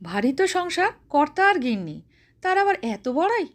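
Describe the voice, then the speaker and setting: A bossy, stout older woman, as a female storyteller plays her, with a high voice and a sharp tongue. She scolds brashly in homely colloquial speech, ordering people about with rough affection. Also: big pitch swings, moderate pace. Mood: bossy, mocking.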